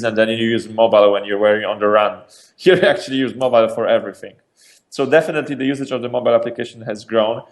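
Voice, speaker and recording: Energetic man. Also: medium pitch. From Poland. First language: Polish. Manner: energetic, restarts and repeats words, laughs between points. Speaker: startup founder, guest. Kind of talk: casual interview answers.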